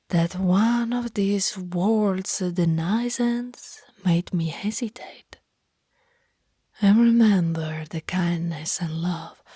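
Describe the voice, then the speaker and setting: A woman voicing a centuries-old, sorrowful and tender female vampire in a slow, whispered ASMR roleplay with formal, old-fashioned phrasing. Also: fairly low pitch, warm tone, dry recording, studio quality, heavily acted. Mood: loving. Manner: breathy, slow, archaic formal phrasing with sighs.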